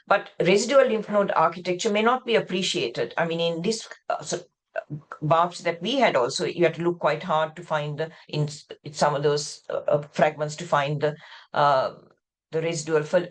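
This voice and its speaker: A woman from Sri Lanka lecturing as a pathologist over a video call, explaining in a discursive way and often rephrasing mid-sentence. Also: lowish voice. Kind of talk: medical lecture with slides.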